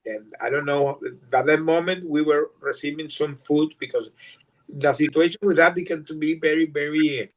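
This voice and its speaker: An older Venezuelan man who grew up speaking Spanish, answering interview questions in English in a halting way, with many repeated words and restarts. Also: on the high side, fairly even intonation, poor audio. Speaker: guest.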